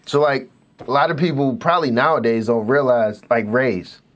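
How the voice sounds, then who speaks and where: A man of middle pitch hosting a podcast, with a faint Pittsburgh accent. He talks in a relaxed, reflective way and often affirms his guest. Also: moderate pace. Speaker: podcast host. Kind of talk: casual podcast conversation.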